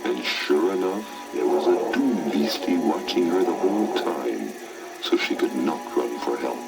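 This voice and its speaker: A man narrating a children's story in a dramatic, expressive, theatrical style. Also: rumbling low, unhurried, gravelly, rough recording. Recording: old story record, orchestral music behind.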